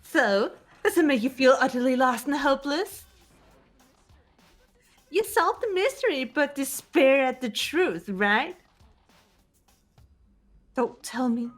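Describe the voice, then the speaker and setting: A woman's voice: high-pitched, animated, slow pace, pauses often, soft background music. A woman voicing a haughty, gloating young female mastermind in a fan-dubbed video game, grandiose and mocking. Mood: taunting.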